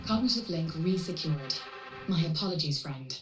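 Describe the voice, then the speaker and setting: A woman playing a composed, coolly corporate AI handler in a video game, speaking politely in measured, clinical, formal phrases. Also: deep voice, expressive. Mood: calm, formal. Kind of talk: scripted video game cutscene dialogue. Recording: roomy processed echo, distant, music underneath.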